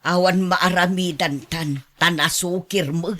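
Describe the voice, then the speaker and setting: A woman's voice: on the low side, fairly even intonation, measured pace, a bit bright. An actress playing a fretful, fussing middle-aged mother in a radio drama, with an Ilocano accent. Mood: resigned.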